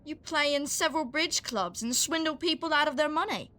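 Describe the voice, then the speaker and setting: A woman in an audio drama playing a sharp-eyed, cheeky little street girl with a knowing, teasing manner. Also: high voice, brisk.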